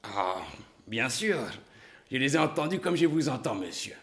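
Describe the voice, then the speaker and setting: A man's voice: medium pitch, big pitch swings, normal speed, fairly bright tone. An actor playing a delicate, nervous male witness who speaks fussily and emphatically, with hesitations. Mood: fretful.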